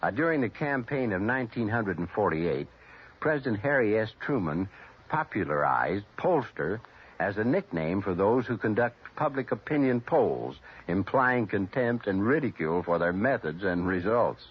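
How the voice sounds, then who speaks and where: A man reads explanatory narration from a script. His voice is low and quite husky. The sound is muffled, like an old radio. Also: very animated, normal speed.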